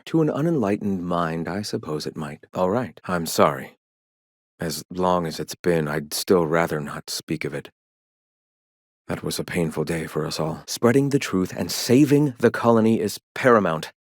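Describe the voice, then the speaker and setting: A zealous rebel prophet for a video game, with a fairly low male voice in a dry, close recording. He speaks in solemn, lofty phrases and preaches his philosophy with grandiose conviction. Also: animated, a little slow, dry recording. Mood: serene, philosophical, then pained, subdued. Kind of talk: video game dialogue acting.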